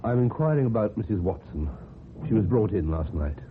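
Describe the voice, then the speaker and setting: An actor playing an agitated, stammering husband whose wife has vanished, in a radio drama. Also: male voice, fairly low pitch, expressive, a little fast, close to an everyday voice. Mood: anxious, polite. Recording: muffled, phone-like old radio recording, hissy.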